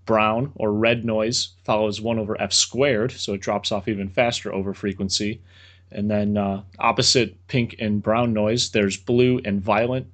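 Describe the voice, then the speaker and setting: A man with a fairly low voice explains engineering ideas as a podcast guest. He talks plainly and casually, slipping in dry jokes as he goes. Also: flat delivery, a little slow. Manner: explanatory, dry joking asides. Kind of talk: explaining technical topics in casual chat.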